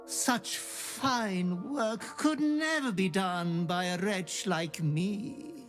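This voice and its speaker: A man's voice: high voice, very slow, a bit bright, faint music behind, heavily acted. An actor playing an obsequious demon butler who gleefully fawns over his murderous master in a video game. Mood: gleeful fawning. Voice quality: fawning, gleefully ghoulish.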